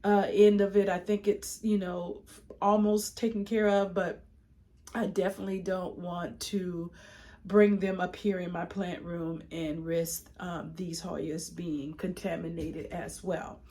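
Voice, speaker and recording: A woman's voice: lowish voice, level tone, unhurried, mellow. A woman giving a casual plant collection tour, congested from a cold, chatty with frequent ums and restarts. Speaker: plant vlogger.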